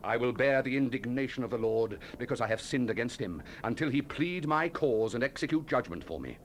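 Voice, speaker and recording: An actor playing a solemn biblical prophet in a dramatized scripture recording, declaiming judgment and lament. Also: male voice, mid-range voice, expressive, fairly quick, close to an everyday voice. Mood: solemn, resolute. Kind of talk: dramatized scripture reading, 1970s audio drama. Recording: hissy 1970s cassette tape.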